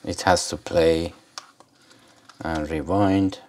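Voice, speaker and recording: Male gadget reviewer, deep pitch, unhurried and rambling, casual hands-on demo. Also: steady tone. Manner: rambling, hesitant. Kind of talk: casual unscripted product demonstration.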